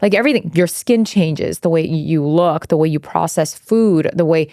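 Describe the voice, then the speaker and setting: A blunt podcast co-host in her forties, a woman from Iran, with a lowish voice. She talks candidly and swears freely, often drifting into side stories. The sound is dry and close. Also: moderate pace, warm tone, no room sound. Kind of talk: casual chat.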